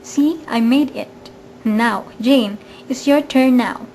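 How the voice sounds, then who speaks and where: A woman playing a scheming, greedy murderess in an amateur radio drama, falsely sweet and coaxing, with smooth reassurance. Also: fairly high pitch, slow, a little mellow. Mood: falsely reassuring. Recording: faint background noise, amateur recording.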